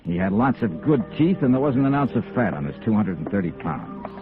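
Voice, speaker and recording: Hard-boiled American investigator, clipped, wry, swinging intonation, old radio drama, band-limited vintage sound. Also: male voice, lowish voice, big pitch swings, brisk, phone-quality sound, music in the background. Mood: dry narrating. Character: hard-boiled male freelance insurance investigator, cool and defiant. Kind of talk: 1950s American radio noir acting.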